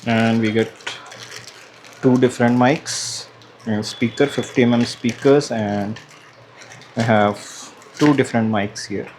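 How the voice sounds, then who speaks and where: A man talks slowly over audible background noise in a mid-pitched voice. He gives a casual unboxing commentary, hesitating and thinking aloud as he handles the product. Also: steady tone. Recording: clear background noise, room ambience.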